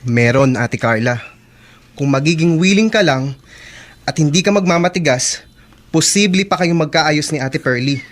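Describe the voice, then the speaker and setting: An actor in a Filipino radio drama playing an earnest, hopeful young brother who speaks pleadingly. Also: male voice, a little high, lively intonation, moderate pace, pauses often, natural voice.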